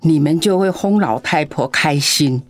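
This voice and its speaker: A woman's voice: low voice, measured pace, soft background music. A woman voicing an ailing elderly mother in a radio drama, sighing and coughing between her words. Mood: gentle. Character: ailing elderly mother, sharp-tongued yet tender.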